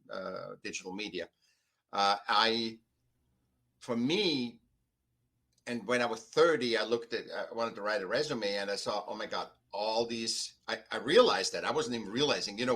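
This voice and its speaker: An older man from Austria with an Austrian accent, telling his own story conversationally as a podcast guest in a halting, emphatic way. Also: on the low side, pushed and bright, breathy edge. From Vienna, Austria. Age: 50s or older. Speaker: podcast guest, artist and author.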